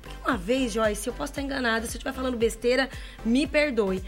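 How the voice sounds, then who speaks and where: Woman, host, casual chat, talkative, laughs often, slightly high voice, faint background music. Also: fast. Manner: chatty, laughs often, asks many questions.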